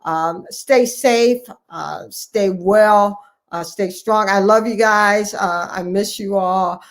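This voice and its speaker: Female interview guest, middle-aged, medium pitch, halting deliberate delivery, encouraging, repeats phrases for emphasis. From United States, Ohio. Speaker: guest, family physician and academy president-elect. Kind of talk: conversational interview, encouraging address to colleagues.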